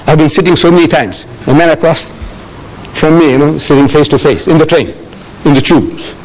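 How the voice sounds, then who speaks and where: An older man from South Africa answering audience questions in a lecture, animated and telling stories with acted-out dialogue. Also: fairly high pitch, fairly quick, rough recording.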